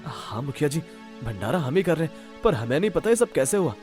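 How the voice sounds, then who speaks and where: A man acts as a village feast server in a cartoon story. He sounds defensive and baffled, protesting deferentially in a slightly high male voice over music. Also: big pitch swings, a little fast, a little mellow.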